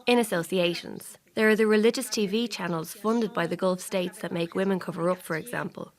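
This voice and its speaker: Earnest low-voiced woman reading a translation. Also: brisk. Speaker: voice-over translator for an interviewee. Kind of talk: read translation voice-over.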